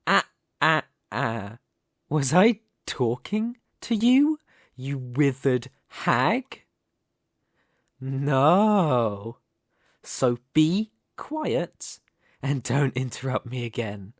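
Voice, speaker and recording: A man playing a smug, menacing, possessive mafia boss, speaking in a taunting, silky, deliberate way in a dramatic ASMR roleplay. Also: mid-range voice, pushed and bright, no echo, studio quality. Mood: cold, threatening.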